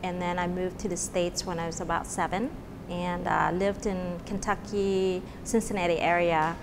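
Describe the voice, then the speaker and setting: A woman's voice: lowish voice, level tone, moderate pace, background noise. Woman from Vietnam, middle-aged, restaurant owner; casual, rambling with fillers; long reverb tail. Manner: chatty, many fillers and restarts. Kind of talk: casual interview. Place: restaurant dining room.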